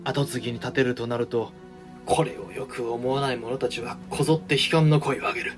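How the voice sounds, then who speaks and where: A man's voice: mid-range voice, fairly even intonation, a little fast, close to an everyday voice. A grave samurai statesman played by a man in a period audio drama. He speaks formally and with measure in a mid-range voice, wary and weighed down by office. Faint music runs underneath. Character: grave samurai regent, wary, burdened, protective brother. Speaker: voice actor. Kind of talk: Japanese historical audio drama acting.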